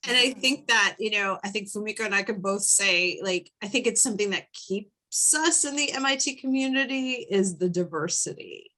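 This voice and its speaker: A woman's voice: medium pitch, animated, moderate pace, hardly any pauses, bright, forceful tone. A woman moderating a panel discussion in an informal, chatty way. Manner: informal, conversational, frequent "you know" and "right".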